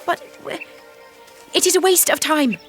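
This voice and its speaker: A woman acts out a young, devout maiden soldier in an audio drama. Her voice sits fairly high, with faint music behind it. She is earnest and determined, insistent in every appeal. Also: moderate pace, natural voice. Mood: urgent, frustrated.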